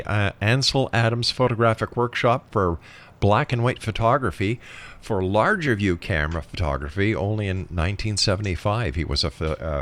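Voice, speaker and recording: A man's voice: on the low side, a bit bright. A man hosting a radio talk show in a conversational style.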